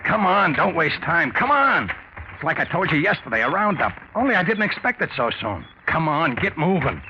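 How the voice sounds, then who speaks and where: An actor playing a streetwise, slangy hobo who is wary of the police, in a 1940s radio drama. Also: male voice, on the high side, very animated, normal speed. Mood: urgent, wary. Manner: slangy, rough grammar. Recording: muffled, noisy 1940s radio recording.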